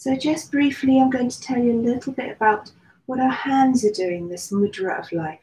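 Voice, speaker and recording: A woman teaching meditation in a mellow, soft voice, slow and soothing with long pauses between phrases. The room sounds echoey and there is a faint hiss of background noise. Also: a little high. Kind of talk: guided meditation.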